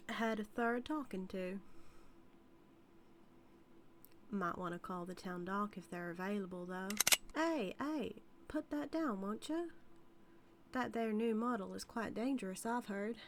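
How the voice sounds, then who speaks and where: A woman's voice: medium pitch, a little hiss or hum, natural voice. A woman playing a flirty, dominant outlaw cowgirl with a Southern US accent in a scripted audio roleplay, drawling and teasing. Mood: cool, cocky, then cautioning. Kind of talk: scripted audio roleplay, intimate character acting.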